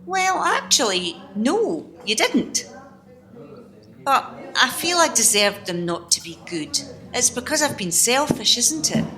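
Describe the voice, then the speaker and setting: A woman playing a disappointed, self-doubting wife in an amateur radio play, plaintive and expressive. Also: a little high, measured pace, full character voice. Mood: rueful.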